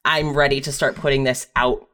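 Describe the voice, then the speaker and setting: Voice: mid-range voice, level tone, normal speed, bright, forceful tone. A podcast guest who writes audio fiction, chatting casually and candidly in an informal, self-deprecating way. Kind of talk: casual personal chat.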